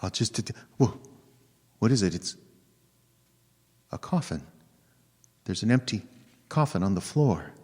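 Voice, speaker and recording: A man's voice: fairly low pitch, expressive, measured pace, pauses often, darkish tone, breathy edge. A man playing a terrified, stammering man on the run in a horror radio drama. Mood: fearful, dazed. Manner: stammering, frantic. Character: terrified man fleeing a vengeful dead man. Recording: long hall-like reverb.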